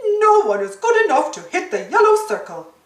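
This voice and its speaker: Woman doing male dog stallholder, storybook, boastful, taunting, animated pitch, roomy. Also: moderate pace, far from the mic, heavily acted. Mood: mocking. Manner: hearty ho-ho chuckling, taunting.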